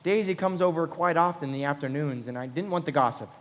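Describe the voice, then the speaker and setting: An actor playing an earnest, hopelessly romantic self-made gentleman with polished, affected manners in a live radio play. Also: a little high, quick pace, close to an everyday voice. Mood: guarded, polite. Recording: reverberant hall of a live performance.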